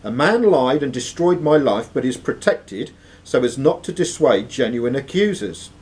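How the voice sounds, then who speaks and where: A man's voice: mid-range voice, very animated, normal speed, a little mellow. A man reading quiz questions aloud as host of a live quiz. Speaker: quizmaster.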